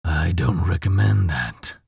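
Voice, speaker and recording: A man voicing a menacing, mocking demon lord in an audiobook, with a raspy voice and a slow, deliberate delivery. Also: sits low, lively intonation, darkish tone, dry recording, clean studio sound, exaggerated voice. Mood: calm menace. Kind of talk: audiobook character voice.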